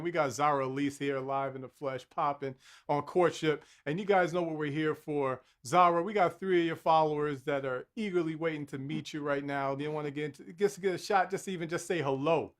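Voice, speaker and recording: A man hosting a live dating game show, energetic and laughing as he hypes up the contestants. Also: fairly high pitch.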